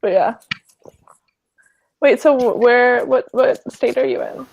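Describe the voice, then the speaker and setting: A woman's voice: on the high side, takes it slowly, vocal fry, darkish tone. A young woman from Canada chatting casually in a group, blunt and teasing, swearing now and then. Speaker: chat participant. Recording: dull lo-fi recording.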